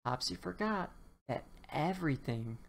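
A woman narrates a children's picture book for bedtime. She speaks slowly and deliberately, in a low register that swoops expressively around key words. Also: animated, gravelly. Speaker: children's storybook reader. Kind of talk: read-aloud bedtime story narration.

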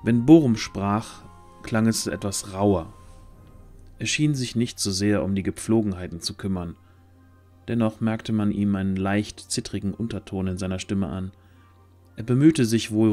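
A man's voice: fairly low pitch, measured pace, warm tone. A man narrating a scripted fantasy story as the narrator of an audio drama. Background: background music.

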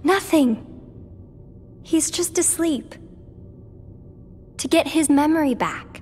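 A woman playing a gentle, solemn teenage girl in a video game cutscene, speaking with measured care. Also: high-pitched. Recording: reverberant hall, faint background noise.

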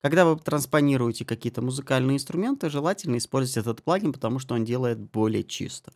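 A man giving a spoken software tutorial as an audio mixing tutor, explaining settings step by step. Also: medium pitch, fast. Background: music and guitar samples.

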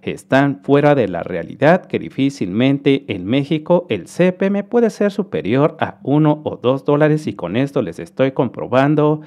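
A man's voice: mid-range voice, very animated, unhurried, hardly any pauses, a little mellow. A man hosting a channel, walking viewers through stats over a screen recording in a friendly, explanatory way. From Mexico. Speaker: YouTube earnings analyst host.

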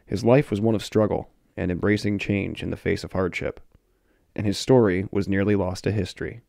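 A man narrating a short history film in a measured, scripted way. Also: deep voice, fairly even intonation, vocal fry, dark tone, dry recording, studio quality. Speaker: documentary narrator.

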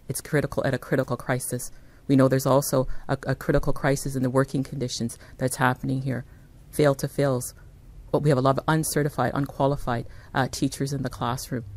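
A woman's voice: extremely low pitch, darkish tone. A middle-aged woman, a teachers' union leader, giving an earnest, insistent statement at a press conference. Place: indoor press conference room. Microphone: podium microphones.

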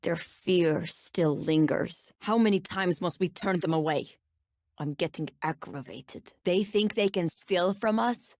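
An actress with a Turkish accent voicing a menacing, sardonic nightmare-wielding bounty hunter in a video game, speaking with cool, ominous deliberation. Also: female voice, lowish voice, a little slow, a little mellow, no echo. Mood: cool menace. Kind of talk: video game character voice lines.

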